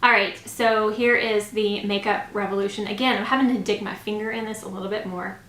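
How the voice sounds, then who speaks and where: A woman's voice: medium pitch, steady tone, brisk, fairly bright tone. A woman in her thirties chatting casually to camera as a beauty vlogger, informal and gushing, with a slightly scratchy voice. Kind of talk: makeup review, casual chat to camera. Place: small home room, indoors. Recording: roomy echo.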